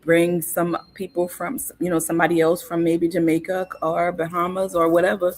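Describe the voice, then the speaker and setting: A woman, a guest in a casual interview, explaining things in an unhurried, informative way with frequent fillers and restarts. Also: on the low side, monotone. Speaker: guest on cultural topics.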